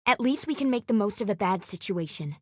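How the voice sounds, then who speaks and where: A solemn female guardian of a fantasy game world, played by an actress. She speaks in a measured, formal and secretive manner at a medium pitch. The sound is dry and close, with no room around it. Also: lively intonation, dry recording, close to an everyday voice. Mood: apologetic, grave. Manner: measured, formal, grave delivery without casual contractions. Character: solemn, secretive female guardian hunting an immortal crime syndicate. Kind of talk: scripted video game character acting.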